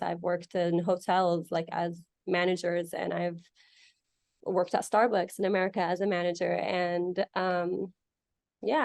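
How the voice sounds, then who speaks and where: A woman's voice: on the low side, vocal fry, no room sound, studio quality. A young woman from Egypt answering interview questions in a casual, chatty way, with many fillers and restarts. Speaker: guest.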